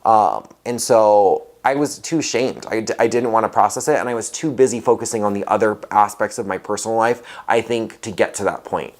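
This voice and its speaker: Young man, from Hawaii, lowish voice, hesitant, interview. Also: fairly quick, hardly any pauses. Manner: hesitant, many um fillers and restarts. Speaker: oral history interviewee. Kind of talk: casual reflective interview.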